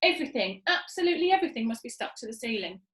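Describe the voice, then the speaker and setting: A woman voicing a bossy, impatient monkey father in a storybook, shouting frantic orders. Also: fairly high pitch, big pitch swings, rapid-fire, echoey room. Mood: bossy, urgent.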